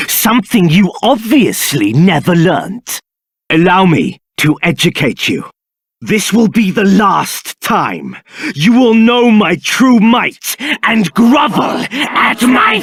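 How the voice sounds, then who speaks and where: An arrogant, bitter fantasy game villain with a high male voice. His delivery swings widely in pitch and takes its time, haughty and declamatory, as befits someone hungry for power. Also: very animated, slow, fairly bright tone, slightly rough, no room sound, studio quality, full character voice. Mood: menacing. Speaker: video game voice actor. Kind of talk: theatrical video game character acting.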